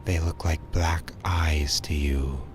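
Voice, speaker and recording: Casting: male horror narrator, extremely low voice, ominous and dramatic, under loud scary music. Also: steady tone. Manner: dramatic, ominous, measured phrasing. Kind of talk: scripted second-person horror narration, Halloween radio drama.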